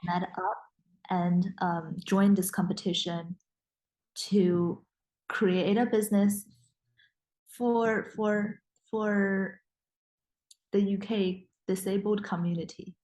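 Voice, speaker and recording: A woman's voice: mid-range voice, steady tone, slow pace, pauses often, a little mellow, poor audio. A young woman whose first language is Mandarin, a guest in a casual interview, speaking English with frequent restarts and fillers. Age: young adult. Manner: hesitant, many restarts and fillers.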